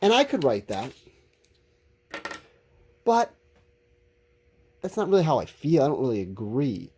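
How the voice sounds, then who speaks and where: A man's voice: medium pitch, huge pitch swings, measured pace, darkish tone, slight background noise. A man teaching a recorded lesson, talking informally to his students and explaining things in a candid, conversational way.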